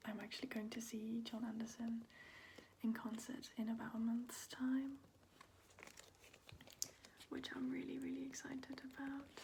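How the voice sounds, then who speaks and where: A woman whispering in a breathy, unvoiced voice, chatting casually and hesitantly in an ASMR style as a video host. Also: on the high side, monotone, a little slow, pauses often, darkish tone, a little hiss or hum. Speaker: video host showing a record collection.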